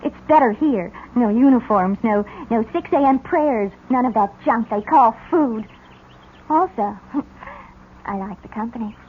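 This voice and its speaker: A woman's voice: on the high side, expressive, a little slow. An actress playing a brazen, coy sixteen-year-old girl who mocks and teases, in an old-time radio drama. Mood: smug, taunting. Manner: coy, mocking, giggly. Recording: muffled, noisy old radio recording.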